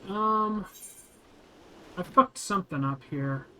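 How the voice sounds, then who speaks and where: A man's voice: high voice, slow, background noise. A man giving casual, offhand let's-play commentary as he describes a game, thinking aloud and swearing freely. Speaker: game streamer describing play for blind viewers.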